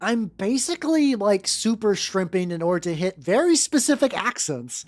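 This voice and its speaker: A woman's voice: mid-range voice, big pitch swings, normal speed, darkish tone. A woman streamer with a playful, joking manner, chatting casually and reading fanfiction aloud for comic effect. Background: background music.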